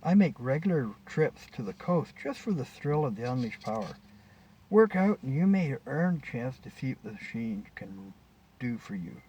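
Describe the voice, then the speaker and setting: Smug male scientist, read by a man, suave seducer in storybook dialogue. Animated pitch, mellow tone. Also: normal speed, lo-fi sound, close to an everyday voice. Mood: boastful. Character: smug, boastful male scientist wooing a passenger. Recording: distant mic with faint background noise.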